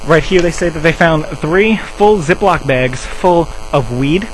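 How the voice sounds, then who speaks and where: A man's voice: lively intonation, unhurried. A man playing an officious, defensive drug treatment center staffer in a rambling, insistent improvised prank phone call. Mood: matter-of-fact. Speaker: prank caller. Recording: noisy low-quality cell phone call, hum.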